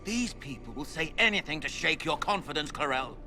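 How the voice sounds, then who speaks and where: An actor playing a haughty, zealous scheming magister in a video game, with a theatrical, emphatic delivery. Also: male voice, on the high side, lively intonation, fairly quick, music in the background. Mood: insinuating. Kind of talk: dramatic video game acting.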